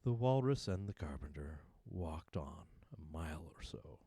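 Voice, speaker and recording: A man giving a dramatic, deliberate recitation of a narrative poem in a theatrical manner. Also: extremely low pitch, gravelly, dark tone, husky, dry recording. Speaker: poetry reader.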